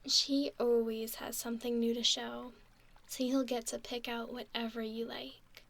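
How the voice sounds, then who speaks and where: A gentle young mermaid played by a woman coos in a soft, breathy ASMR whisper. Her voice sits fairly high and drifts into creaky fry. She speaks very slowly, doting and soothing. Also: little pitch movement, a little hiss or hum. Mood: doting, reassuring. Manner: slow, soothing, cooing whisper and soft speech.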